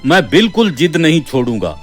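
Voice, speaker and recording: Stubborn village exorcist monk acted by a man: high-pitched male voice, pompous and insistent, cartoon drama over music. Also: huge pitch swings, brisk. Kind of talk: cartoon story acting. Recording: background music.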